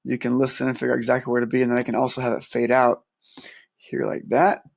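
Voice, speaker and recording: A man with a soft, gravelly voice that has a husky edge. He explains a music software setup calmly, one step at a time, like a teacher walking students through it. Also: mid-range voice, fast. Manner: calm step-by-step explaining, counting aloud. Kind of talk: screen-recorded software tutorial.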